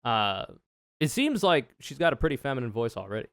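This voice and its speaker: Deep, smooth-voiced streamer man, blunt. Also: very animated, fairly quick, fairly bright tone, no room sound. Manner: frank, swears freely, thinks aloud. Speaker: streamer reacting to a video. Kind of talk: casual reaction commentary.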